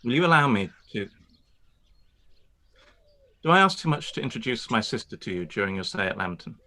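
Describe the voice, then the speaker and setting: A reserved young gentleman of the landed class with a lowish, forceful voice, as a man acts him in a live-read radio play. He speaks formally and carefully, pausing now and then as he picks his words. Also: sing-song, heavily acted. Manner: formal, measured, with brief hesitations. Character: reserved proud young gentleman landowner, newly courteous. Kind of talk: period radio play acting.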